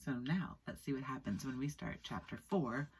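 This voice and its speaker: A woman with a strikingly low voice reads a children's story aloud. Her delivery is expressive and deliberate, paced for young listeners. A faint noise sits behind her in the room. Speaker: storyteller reading a children's book. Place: small indoor room with skylight.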